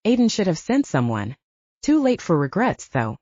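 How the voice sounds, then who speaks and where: A woman's voice: lowish voice, big pitch swings, normal speed, a little mellow, no room sound, lo-fi sound. A woman narrating a scripted novel as an audiobook reader.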